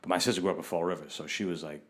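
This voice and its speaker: A man's voice: low voice, steady tone, racing. A man from Rhode Island hosting a podcast in casual, slangy talk, swearing freely. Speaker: podcast host.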